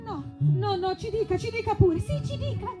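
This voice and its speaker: Very high-pitched, whiny comic young woman. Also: animated, moderate pace, dark tone, soft background music. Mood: eager. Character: two overheated, gullible hot young women in a skit. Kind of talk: exaggerated comic skit acting.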